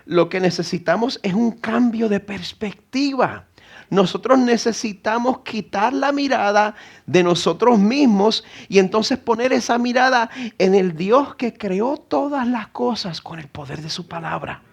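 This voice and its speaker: A man's voice: high-pitched, a little slow, gravelly. A middle-aged man with a Puerto Rican accent preaching an earnest sermon in church, rising to emphatic exhortation. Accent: Puerto Rican Spanish. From Puerto Rico. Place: church sanctuary.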